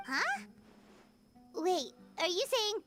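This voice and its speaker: A woman voicing a tiny, excitable fairy girl companion in a video game, perky, inquisitive and exaggerated. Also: very high voice, slow, music in the background, full character voice. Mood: surprised. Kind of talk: cartoonish game voice acting.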